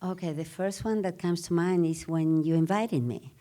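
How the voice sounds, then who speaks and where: Woman, middle-aged, Spanish accent, native Spanish speaker, lowish voice, earnest, measured. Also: fairly even intonation, dark tone. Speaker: guest. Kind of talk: live podcast interview.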